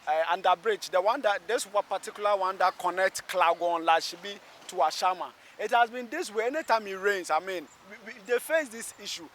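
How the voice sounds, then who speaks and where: A man with a Ghanaian accent working as a radio reporter, interviewing on location in a halting way, restarting phrases. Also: sits high, a bit bright, slight background noise. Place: outdoors by a busy road underpass.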